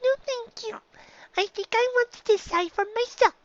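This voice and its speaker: Squeaky, earnest little filly pony. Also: normal speed, no echo, muffled recording. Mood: bright, polite. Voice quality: very high squeaky child voice. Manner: childlike, insistent.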